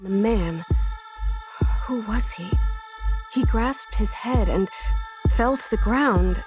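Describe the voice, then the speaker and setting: A woman's voice: medium pitch, slow pace, lightly acted. An actress playing a shaken, grave woman in an audio drama. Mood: shocked, distressed. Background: music.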